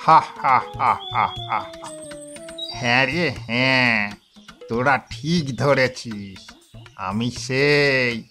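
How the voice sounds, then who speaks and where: A man playing a kindly but gruff old grandfather ghost in a cartoon, with a drawn-out, rustic scolding manner. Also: mid-range voice, sharp tone, soft background music. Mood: amused, gruffly fond. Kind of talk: Bengali moral-story cartoon acting.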